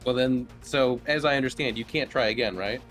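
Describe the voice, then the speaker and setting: A man's voice: mid-range voice, a little fast. A man casually narrating a tabletop game as game master, jokey and given to swearing. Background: soft music.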